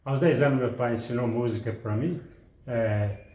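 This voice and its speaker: A man from Minas Gerais, a composer and saxophonist, introduces himself in a hesitant, measured way with frequent pauses. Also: mid-range voice, warm tone, reverberant. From Minas Gerais, Brazil. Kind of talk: personal introduction.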